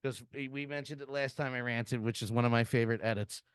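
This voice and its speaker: A man's voice: medium pitch, steady tone, fast talker, clear, resonant tone, no echo. A middle-aged man hosting a wrestling podcast, ranting profanely and laughing often. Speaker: podcast host. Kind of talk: casual wrestling podcast chat.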